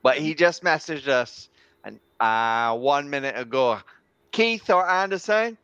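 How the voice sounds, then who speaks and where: A man's voice: medium pitch, lively intonation, measured pace, a bit bright, clear, resonant tone. A man, chuckling easily in a casual chat. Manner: chuckling, easygoing. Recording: dull lo-fi audio.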